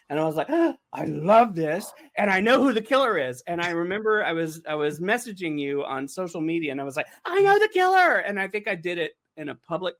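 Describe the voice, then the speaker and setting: A man chatting casually on a panel, telling stories in an animated way and acting out his own reactions. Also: a little high. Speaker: panelist on a behind-the-scenes show. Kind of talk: casual chat.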